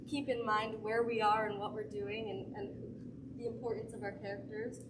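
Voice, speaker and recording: An eager teenage girl chats about rehearsals in a slightly high voice. She is far from the mic, with loud background noise. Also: normal speed, vocal fry. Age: teens. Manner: eager, chatty. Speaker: student actor. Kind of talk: casual panel talk.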